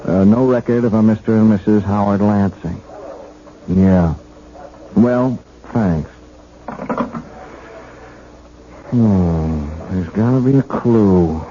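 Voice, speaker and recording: An actor playing a dogged, plain-spoken police officer in 1970s radio drama style, colloquial and insistent. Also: male voice, fairly low pitch, takes it slowly. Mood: puzzled, determined. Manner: colloquial, hesitant openers, insistent. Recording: noisy, dull 1970s radio broadcast.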